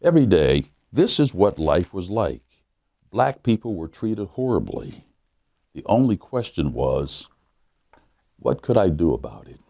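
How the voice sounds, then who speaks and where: Deep-voiced pastor reading a storybook. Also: male voice, mellow, dry recording, clean studio sound. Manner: measured, earnest storybook reading. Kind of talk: reading a children's book aloud.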